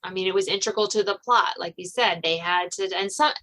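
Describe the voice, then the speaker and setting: A woman's voice: medium pitch, fairly quick, fairly bright tone. A woman podcast host chatting casually with guests, giggly and often interrupting her own sentences.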